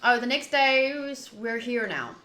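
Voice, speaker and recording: A woman's voice: a little high, a little slow, pushed and bright. A woman streamer doing a casual game playthrough, reading text aloud and commenting as she goes. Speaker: streamer playing a visual novel. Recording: roomy echo, faint background noise.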